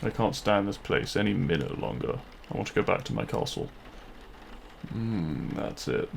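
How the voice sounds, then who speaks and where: Male actor as demon king, heavy vocal fry, intimate romantic roleplay, deliberate delivery, faint background noise. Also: sits low, lively intonation, full character voice. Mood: smug, commanding. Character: feared demon king, menacing in public, tender lover in private. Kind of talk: romantic ASMR audio roleplay.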